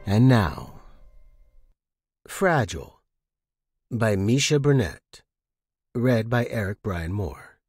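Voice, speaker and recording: A man hosting and narrating fiction in a slightly husky voice. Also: on the low side, big pitch swings, slow, pauses often, darkish tone, faint music behind. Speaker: podcast host and narrator. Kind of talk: scripted narration.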